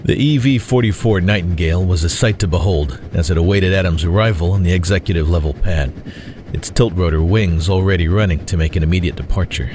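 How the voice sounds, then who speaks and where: An actor narrating a military sci-fi story in a scripted third-person storytelling style. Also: male voice, deep voice, brisk, noisy background, natural voice. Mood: measured.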